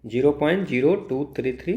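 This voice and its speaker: A man's voice: on the high side, quick pace, darkish tone, muffled recording. An Indian man tutoring physics, working through exam problems step by step, mixing Hindi and English and asking himself questions he then answers. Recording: echoey room.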